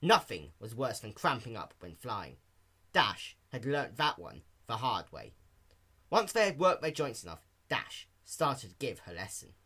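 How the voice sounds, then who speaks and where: Bright, lively man reading a story. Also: mid-range voice, measured pace, husky. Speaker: fan fiction reader. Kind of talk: amateur animated story narration.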